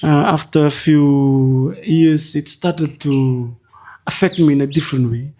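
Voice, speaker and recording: A Fijian man in his twenties with a Fijian accent, a podcast guest telling his own story with many hesitant pauses. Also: a little high, steady tone, mellow, slight background noise. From Fiji. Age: 20s. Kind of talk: personal story in a podcast interview.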